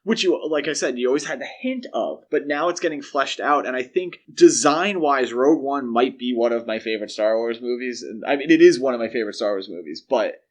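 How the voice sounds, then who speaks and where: Male podcast host, average pitch, conversational and opinionated film chat. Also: lively intonation, brisk, few pauses. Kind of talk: casual film discussion.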